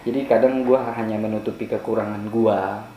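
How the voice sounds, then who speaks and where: A man's voice: on the low side, moderate pace, highs cut off. Man, from Madura, podcast guest, slangy, self-assured, echoey room. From Madura, Indonesia. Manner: animated and self-assured, slangy, voice jumps up for emphasis. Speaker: podcast guest, content creator. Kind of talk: casual podcast chat. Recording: echoey room with faint noise.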